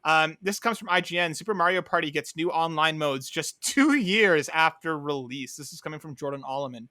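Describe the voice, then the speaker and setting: Bright-voiced man hosting a podcast. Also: a little high, a little fast, no echo, clean studio sound. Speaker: podcast host. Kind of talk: casual gaming podcast chat.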